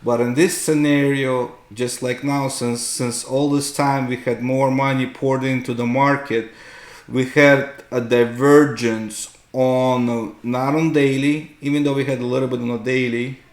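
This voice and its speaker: Slow, gravelly man explaining charts. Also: medium pitch, level tone. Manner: explanatory, often checks with "right?". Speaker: host, market analyst. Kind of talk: unscripted chart tutorial commentary. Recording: roomy, echoing room sound.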